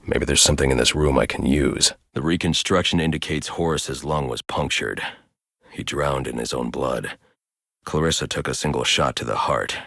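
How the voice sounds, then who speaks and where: An actor voicing a grim, driven masked vigilante detective in a video game, with a gravelly deep voice and terse, stern delivery. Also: male voice, moderate pace, a bit bright, no echo, clean studio sound, full character voice. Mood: grim, analytical. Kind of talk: video game voice lines, scripted hero dialogue.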